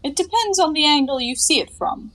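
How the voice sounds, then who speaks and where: A woman's voice: sits high, expressive, fairly quick, a bit bright. A woman acting a playful, unabashed young 1920s woman in a stage comedy, with a coy, theatrical delivery. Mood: coy, amused. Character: breezy, playful, unabashed flapper-era young woman in her bath.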